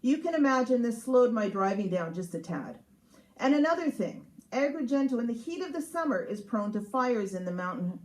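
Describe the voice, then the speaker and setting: A woman's voice: medium pitch, low-quality recording. A woman reads her humorous memoir aloud as its author, in a sarcastic, emphatic, mock-exasperated manner. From Canada. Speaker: author reading from own book. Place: live reading at a showroom.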